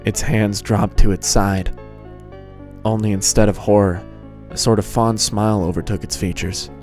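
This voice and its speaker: A man's voice: on the low side, level tone, moderate pace, a little mellow. A man reading scripted fan fiction as the narrator of a dramatized story. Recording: music behind the voice.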